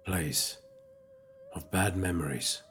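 A man playing a calm, wise alien elder in a science fiction audio drama, speaking in a measured, formal, philosophical way. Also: low voice, soft background music. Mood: solemn, gentle.

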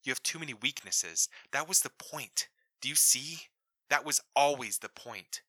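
A man voicing a female mission deputy speaking over a comm line, quick, breathless and sibilant, soft and close to a whisper. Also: mid-range voice, lively intonation, no room sound, voice pushed up. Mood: urgent hushed. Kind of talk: audiobook character voice.

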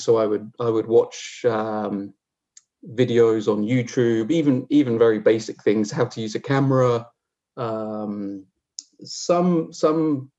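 A man's voice: medium pitch, warm tone, lo-fi sound. A man in his forties with an English accent, talking reflectively and hesitantly as a guest in a conversational podcast interview. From south coast of England. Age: 40s. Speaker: interview guest, street photographer.

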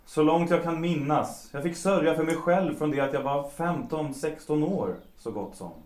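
An actor playing a cynical, self-destructive bohemian composer in a period audio drama, world-weary and mocking, with self-pity underneath. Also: male voice, on the high side, darkish tone, natural voice. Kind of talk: period stage-play audio drama acting in Swedish. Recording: roomy, echoing sound.

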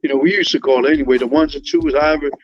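A man's voice: a little high, steady tone, racing, crowd noise. A man from Liberia, a musician talking casually in an interview, laid-back and full of slang and fillers. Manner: laid-back, slangy, many fillers and "you know what I'm saying". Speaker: guest musician. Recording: dull lo-fi sound with faint music.

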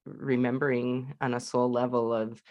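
Man hosting a relaxed interview. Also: mid-range voice, moderate pace, no echo, muffled recording. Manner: warm, affirming listener with frequent backchannels. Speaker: host. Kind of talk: casual interview.

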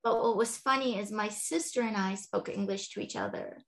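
A woman author, a guest on a podcast, chatting in a relaxed way about her life and telling stories. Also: medium pitch, brisk. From Iran. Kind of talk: casual interview chat.

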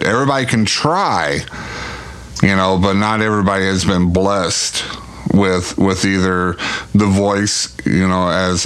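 A man's voice: sits low, a bit bright, smooth. A man in his forties hosting a casual podcast interview, folksy and faith-focused, often repeating words as he talks. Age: 40s. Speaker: podcast host.

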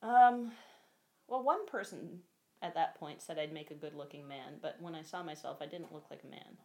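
A feminine-voiced guest talking candidly in a casual interview, chatty and quick to laugh. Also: female voice, sits low, a little mellow. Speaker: guest, costumed performer.

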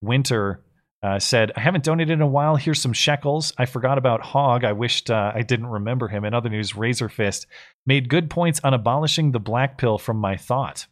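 A man co-hosting a political livestream, reading viewer messages aloud and commenting casually in a measured, halting, conversational way. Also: mid-range voice. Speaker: co-host of a political talk livestream.

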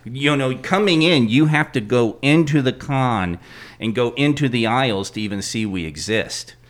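A man's voice: medium pitch, moderate pace. A man co-hosting a podcast, chatting casually in an informal, emphatic, sweary way. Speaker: podcast co-host. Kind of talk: casual group chat.

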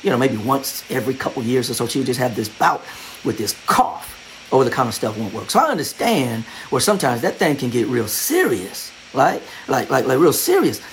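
A man's voice: mid-range voice, very animated, a little fast, slight background noise, low-quality recording. A man preaching a sermon as pastor, in a repetitive, conversational style that builds its emphasis.

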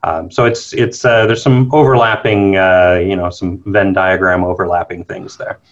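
A man's voice: sits low, fairly even intonation, normal speed. A man with a Canadian accent answering conversationally as an interview guest, an academic researcher. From New Brunswick, eastern Canada. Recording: dull, band-limited call audio.

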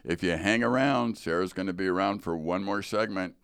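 American male podcast host, middle-aged. Low pitch, clean resonant tone, conversational and explanatory, close dry sound. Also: brisk, fairly bright tone, smooth, dry recording. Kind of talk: conversational interviewing. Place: podcast studio.

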